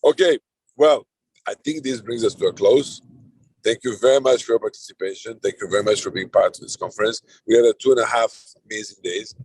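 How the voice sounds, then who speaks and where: A middle-aged man with a Serbian accent moderates a conference roundtable over a video call. His voice sits fairly low and has a husky edge. He talks in a loose, rambling way, often restarting his sentences, and the sound is dry and close. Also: brisk, a little mellow, no echo, low-quality recording. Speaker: conference chair.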